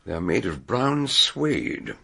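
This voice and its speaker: A man playing an eccentric, shrewd detective doctor in a radio drama, deliberate and musing as he questions and narrates. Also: on the low side, big pitch swings, vocal fry, noisy background, full character voice. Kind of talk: radio drama acting and first-person narration.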